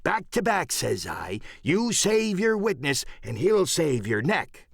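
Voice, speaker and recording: A man's voice: fairly high pitch, very animated, slow pace, gravelly, slightly rough, dry recording, studio quality, pitched above the performer's own voice, heavily acted. A man voicing a cunning, blustering old one-legged pirate sea cook in an audiobook, with rustic, emphatic sailor speech. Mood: urgent, conspiratorial. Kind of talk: dramatic audiobook character acting.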